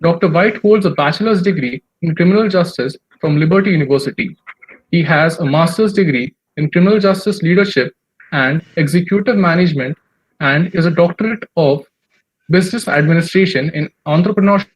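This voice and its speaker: A conference anchor formally thanking a speaker and introducing the next panel from a script. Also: female voice, fairly low pitch, brisk, slightly rough, poor audio. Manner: formal, effusive, reading from a script.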